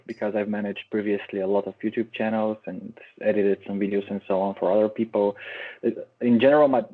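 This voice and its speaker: A man from Bulgaria chats easily as a podcast guest and sometimes stumbles over his words. His voice sits a bit low. It comes through a narrow, tinny video-call line. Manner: easygoing, a few stumbles and restarts. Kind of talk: casual remote interview chat. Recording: tinny phone-like call audio.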